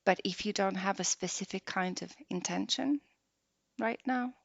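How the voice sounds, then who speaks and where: A woman guiding a meditation practice softly and unhurriedly, leaving long pauses between short phrases. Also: medium pitch, creaky, no echo. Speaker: yoga nidra meditation guide. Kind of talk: slow guided meditation. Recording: dull lo-fi close dry recording.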